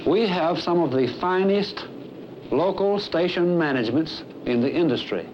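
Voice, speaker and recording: Earnest american guy in his 50s, highish lively voice, formal exec speech to staff, old film sound. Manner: emphatic, earnest, deliberate. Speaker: airline executive presenting a staff training film. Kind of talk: formal scripted address. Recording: dull, noisy 1970s film soundtrack.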